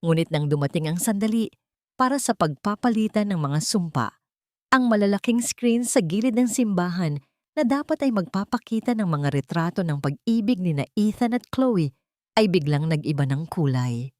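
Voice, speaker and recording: A woman's voice: medium pitch, animated, moderate pace, warm tone, no echo, studio quality. A woman narrating a scripted romance drama in a dramatic, literary storytelling manner.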